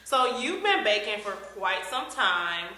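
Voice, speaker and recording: A bright-voiced woman hosts a casual interview. She sounds upbeat, laughs easily and fills her pauses with "um" and "uh." The room adds a noticeable echo. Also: mid-range voice, big pitch swings. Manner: upbeat, laughs often, many fillers.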